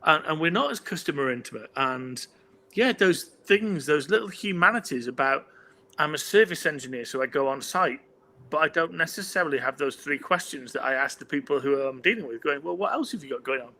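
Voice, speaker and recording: Bright animated British man hosting. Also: on the high side, a little fast. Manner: animated, stammering restarts, opinionated. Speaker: host. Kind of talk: livestream panel discussion.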